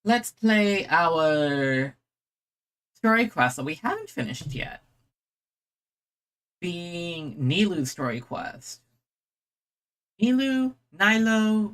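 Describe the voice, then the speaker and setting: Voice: mid-range voice, sing-song, slow pace, pauses often, a bit bright. A streamer chatting casually and enthusiastically to viewers while playing a game, in a rambling way. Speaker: streamer and host. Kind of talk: casual livestream gaming chat.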